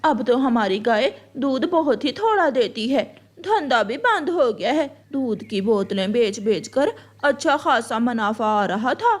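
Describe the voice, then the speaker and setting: A woman's voice: on the high side, big pitch swings, a little slow, hardly any pauses. A woman playing a bossy, greedy female crow in a children's cartoon. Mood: eager, greedy.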